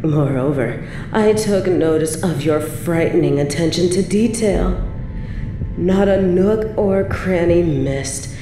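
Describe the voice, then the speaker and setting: A woman's voice: on the low side, slow, echoey room, over music. A woman playing an obsessive, possessive evil medieval queen in an audio roleplay, speaking in a theatrical, formal, savouring way with seductive menace. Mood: smug, knowing. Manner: theatrical, formal, savouring, dropping into breathy whispers. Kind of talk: scripted dramatic audio roleplay monologue.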